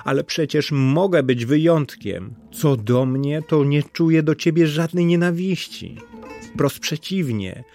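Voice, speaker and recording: Old rook for a children's tale, male reader: earnest, formal, beseeching, raised pitch. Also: lively intonation, a little slow, darkish tone, soft background music. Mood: earnest persuasion. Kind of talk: storybook voice acting.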